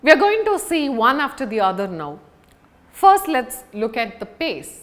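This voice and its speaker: A woman giving a public speaking lesson as an instructor, speaking deliberately and emphatically with dramatic pauses. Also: high-pitched, sing-song, a bit bright. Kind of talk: scripted lesson to an audience on video.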